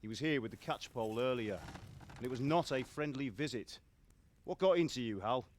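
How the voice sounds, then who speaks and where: An actor playing a stern middle-aged blacksmith father in a video game, with a gruff, lecturing manner. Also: mid-range voice, animated, fairly quick, slight background noise, lightly acted. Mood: stern, scolding. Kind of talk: dramatic video game acting. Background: music.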